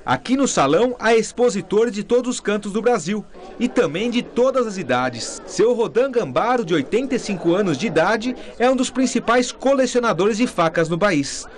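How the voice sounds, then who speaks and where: A man's voice: high voice, expressive, moderate pace. A young man with a Brazilian accent narrating a scripted TV news report as a reporter. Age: young adult.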